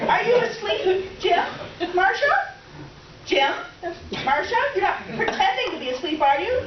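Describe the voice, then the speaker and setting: High-pitched needy female houseguest played by a woman, dramatic rambler, swooping delivery, roomy stage recording. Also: sing-song, a little slow, exaggerated voice. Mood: rambling, needy. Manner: gushing, rambling, dramatic, oblivious. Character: vivacious, needy, chattering middle-aged houseguest woman, an emotional mess. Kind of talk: comic stage readers theater. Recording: roomy, distant, dull, noisy stage recording.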